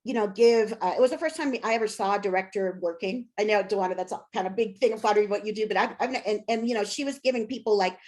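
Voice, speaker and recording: A woman's voice: medium pitch, fast, hardly any pauses, darkish tone. A woman being interviewed as an author and scholar, talking casually and candidly with self-deprecating humor.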